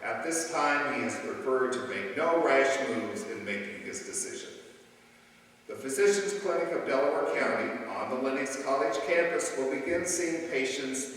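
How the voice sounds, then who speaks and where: A man's voice: medium pitch, a bit bright. A man reading a scripted, news-style announcement. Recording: very echoey hall, far from mic.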